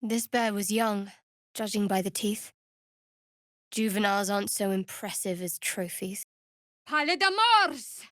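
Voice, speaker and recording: A woman playing a guarded young half-elf cleric in a fantasy video game, with a dry, sardonic, measured delivery. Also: mid-range voice, lively intonation, fairly bright tone, no echo. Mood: guarded, observant, then incanting, forceful.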